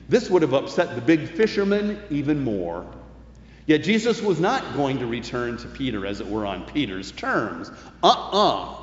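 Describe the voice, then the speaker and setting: A man preaching a sermon as a pastor, phrasing his points deliberately and emphatically with some colloquial turns. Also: mid-range voice. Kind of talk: sermon with scripture commentary. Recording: echoey hall, long reverb, dull sound.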